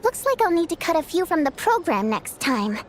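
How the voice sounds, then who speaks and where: A woman voicing a proud, touchy young theater singer in a video game, measured and pointed. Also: very high-pitched, sing-song, a little hiss or hum. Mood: hurt, accusing. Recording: music under the voice.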